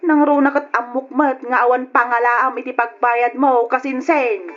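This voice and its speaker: A woman's voice: high-pitched, level tone, normal speed, lo-fi sound, soft background music, natural voice. A woman with an Ilocano accent playing a curt, grudging moneylender cousin in an old radio drama.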